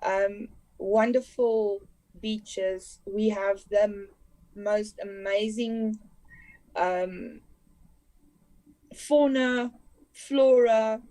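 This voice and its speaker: A woman's voice: medium pitch, steady tone, extremely slow, long pauses, warm tone, low-quality recording. A native Afrikaans-speaking woman with a South African accent, answering casually as a podcast guest. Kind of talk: casual interview chat.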